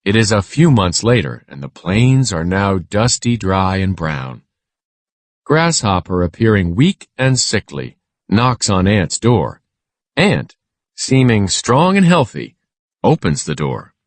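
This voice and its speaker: A man reading a scripted children's lesson aloud in a measured, instructional way. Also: on the low side, sing-song, no echo.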